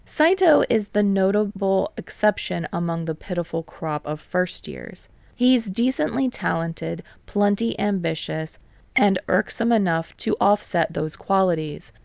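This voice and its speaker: A woman's voice: medium pitch, lively intonation, measured pace, vocal fry, darkish tone, dry recording. A woman reading a story aloud as scripted narration. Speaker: audiobook narrator of fan fiction.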